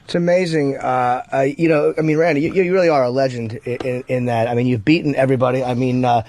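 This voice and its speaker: A man's voice: mid-range voice, fairly even intonation, fast, darkish tone. A man co-hosting a radio show in casual banter, talking bluntly and profanely like an enthusiastic fan.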